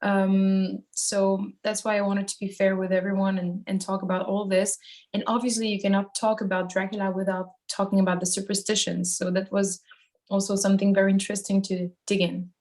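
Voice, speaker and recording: A woman's voice: mid-range voice, flat delivery, a little fast, darkish tone. A woman from Switzerland, a band singer, giving casual interview answers in a thoughtful, hesitant way as she searches for phrasing.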